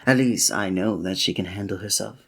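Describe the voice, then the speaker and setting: A man playing a flirty, breathy innkeeper. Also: low-pitched, fairly quick, a bit bright, heavily acted. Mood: amused. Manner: formal old-fashioned wording with chuckling asides. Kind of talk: ASMR roleplay.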